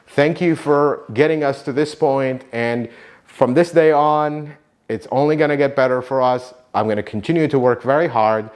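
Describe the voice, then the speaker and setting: Earnest man from Iraq thanking viewers. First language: Arabic. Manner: earnest, warm gratitude, lively pitch swings. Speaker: YouTube channel host, car mechanic. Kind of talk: heartfelt thank-you talk to viewers.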